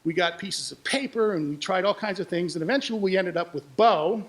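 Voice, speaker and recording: A man's voice: high voice, a little fast. A man speaking as an audio producer, telling informal behind-the-scenes stories in a live presentation. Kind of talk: informal storytelling to an audience.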